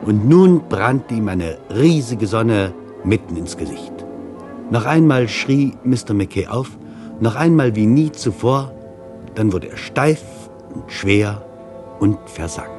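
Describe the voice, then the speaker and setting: A man reading a literary story as dramatic narration, in a deliberate and intense storytelling manner. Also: lowish voice, animated. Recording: music behind the voice. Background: music.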